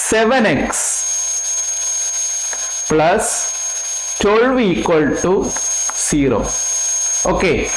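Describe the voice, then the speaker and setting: A man teaching maths step by step, explaining deliberately and repeating key points. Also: high-pitched. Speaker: maths tutor. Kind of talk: step-by-step exam lesson. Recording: roomy echo, faint background noise.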